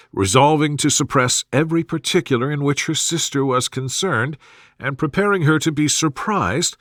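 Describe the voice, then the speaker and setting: A man narrating a classic sleep story in a soothing, measured and formal literary reading style. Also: medium pitch, a bit bright, no echo, studio quality.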